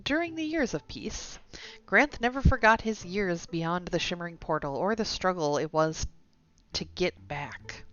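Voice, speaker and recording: A woman's voice: on the low side, animated, measured pace, fairly bright tone. A woman live-streaming a game, reading its story text aloud with some stumbles and chatting to viewers in asides. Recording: dull lo-fi sound with music behind. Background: game music.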